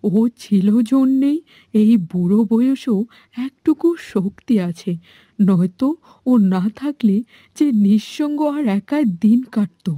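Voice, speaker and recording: A woman's voice: on the high side, lively intonation, measured pace, dark tone, no room sound. A woman playing a caring, worried village mother with a plaintive, melodramatic cartoon delivery. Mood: tender, wistful. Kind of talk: dramatic cartoon dubbing.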